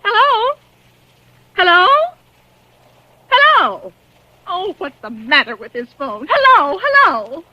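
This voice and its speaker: A woman's voice: very high voice, huge pitch swings, slow pace, pauses often, slight background noise, full character voice. A woman in 1940s radio acting, playing a nervous, demanding bedridden invalid who stammers when flustered, on a lo-fi vintage radio recording. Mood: frantic. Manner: stammering, frantic, petulant.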